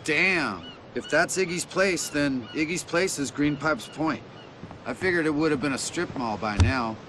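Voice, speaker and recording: A man's voice: fairly high pitch, expressive, a little slow, pushed and bright, slight background noise. A man playing a laid-back, nostalgic veteran skateboarder in a video game, casual and slangy. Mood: reassuring. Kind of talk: video game cutscene acting.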